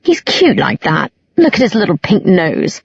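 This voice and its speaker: A woman narrator voices a scornful young man from a novel. He is smug and drily sarcastic, with a husky tone, on a dry and rather dull recording. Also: fairly low pitch, big pitch swings, measured pace, no echo, exaggerated voice. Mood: mocking, amused.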